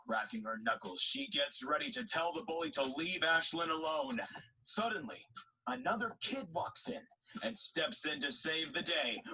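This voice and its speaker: A male narrator reading an audio drama script. His voice is very bright and forward, slightly high, and moves with lively intonation. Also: poor audio.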